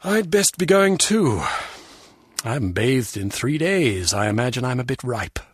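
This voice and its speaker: A rough, husky male voice plays a starchy agency official. He is formal, pompous and abrasive, on a close, dry recording. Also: medium pitch, sing-song, a little slow, dry recording. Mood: weary. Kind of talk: video game voice acting.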